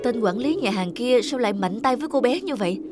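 A young apprentice of death voiced by a woman in an animated fairy tale, earnest, brooding and soft-hearted. The voice is fairly high over clear music. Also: fairly quick, natural voice. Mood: brooding, pitying. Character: young apprentice Grim Reaper, dutiful, secretly compassionate.

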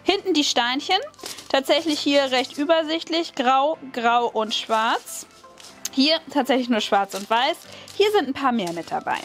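A woman's voice: sits high, animated, a little slow, fairly bright tone, faint music behind. A woman with a German accent chatting casually while unpacking and crafting at a table, thinking aloud with hums and small laughs. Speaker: crafting video host. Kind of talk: casual unboxing and crafting commentary. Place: indoors at a wooden table. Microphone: overhead camera, hands only.

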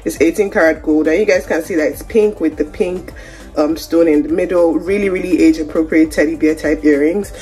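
A woman's voice: low-pitched, a little fast, warm tone, faint music behind. Chatty young woman vlogging. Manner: chatty, upbeat, talks straight to viewers. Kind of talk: casual vlog to camera. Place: home kitchen indoors. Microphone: phone camera held close.

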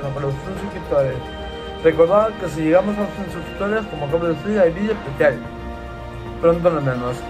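A man, answering follower questions in a casual, repetitive vlog to a webcam in a small room. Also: on the high side. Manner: chatty and repetitive, gesturing a lot with his hands. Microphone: laptop webcam.

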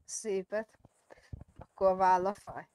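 A man's voice: high voice, a little mellow, smooth, poor audio. A man improvising in a roleplay game as a paramedic tending a patient. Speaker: roleplay player acting a paramedic.

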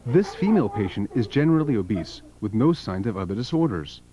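A man's voice: fairly low pitch, sing-song, very slow. A man narrating a scripted documentary as its narrator. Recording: dull lo-fi video soundtrack. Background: background music.

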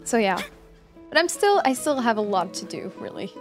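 Highish-voiced woman streamer, playfully sarcastic. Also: sing-song, moderate pace. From France. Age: 20s. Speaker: game streamer. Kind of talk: casual live gameplay chat. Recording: stream voice over game music.

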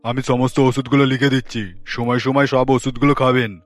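A man's voice: mid-range voice, level tone, brisk, no echo, lightly acted. A man playing an authoritative, reassuring family doctor in a cartoon drama.

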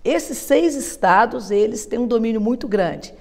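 A woman's voice: fairly high pitch, unhurried, gravelly. Woman historian explaining, reverberant studio. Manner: steady, fluent, explanatory, with frequent tag questions. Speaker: historian guest. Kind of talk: explanatory interview answers. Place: television studio. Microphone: lapel mic.

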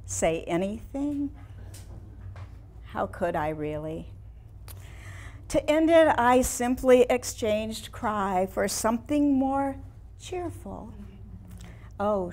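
Older woman acting a wistful, slow-spoken lady painter. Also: mid-range voice, a little mellow. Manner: theatrical, deliberate, reflective. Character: wistful, proud woman painter recalling her early career. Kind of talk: staged reading of a one-woman play. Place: small room in a house before a seated audience.

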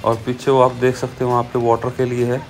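A man's voice: medium pitch, completely flat delivery, a little fast. A man casually narrating a vlog outdoors at a street race, talking loosely about what he sees. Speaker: vlogger. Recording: loud music over the voice.

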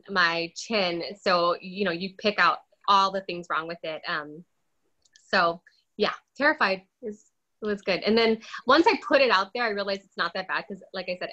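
A woman in her thirties chatting on a video call from a bright room at home, self-deprecating and quick to laugh. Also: fairly low pitch. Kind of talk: casual video call chat. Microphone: laptop webcam on video call. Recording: dull lo-fi call audio.

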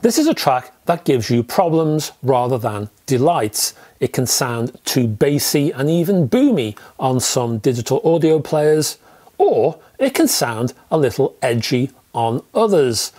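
A man's voice: mid-range voice, a little mellow. A man in his fifties presenting a scripted product review to camera in an emphatic, conversational manner with rhetorical asides. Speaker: audio equipment reviewer. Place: small indoor room with record shelves.

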